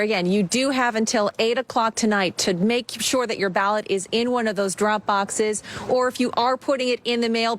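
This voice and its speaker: A woman's voice: mid-range voice, a little hiss or hum. A woman with an American accent giving a live local television news report as a field reporter.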